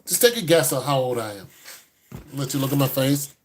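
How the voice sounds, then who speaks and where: A man's voice: medium pitch, animated, fairly quick. A man in his fifties, a host giving blunt, no-nonsense advice to a caller in casual chat. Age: 50s.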